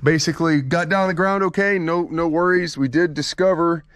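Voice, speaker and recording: A man's voice: a little high, steady tone, fairly quick, fairly bright tone. Chatty pilot man vlogging in a hangar. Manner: chatty, rambling explanation with fillers. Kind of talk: casual vlog to camera. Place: aircraft hangar at night, indoors. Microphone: handheld action camera at arm's length.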